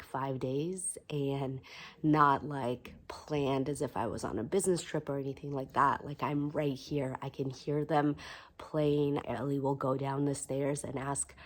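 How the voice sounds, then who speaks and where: Hoarse, soft-spoken sick woman. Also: a bass voice. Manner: soft, subdued, chatty with frequent "like" and "um". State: hoarse from illness. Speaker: vlogger. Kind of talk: casual personal vlog. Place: bedroom at home.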